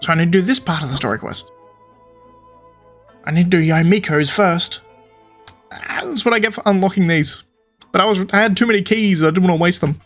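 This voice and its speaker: Higher-voiced man casually commenting on gameplay. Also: fairly quick, long pauses, a bit bright, soft background music. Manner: thinks aloud. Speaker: video game streamer.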